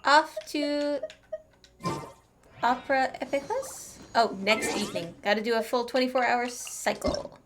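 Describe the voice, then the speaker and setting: High-voiced playful woman streaming a game. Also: a little slow, over music. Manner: playful, sing-song asides and sound words. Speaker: gaming streamer. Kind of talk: casual playthrough commentary.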